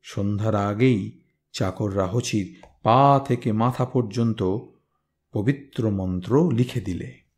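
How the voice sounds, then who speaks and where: A man reading a folktale as scripted narration, in a measured, expressive, dramatic manner. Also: lowish voice. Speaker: storyteller, reader.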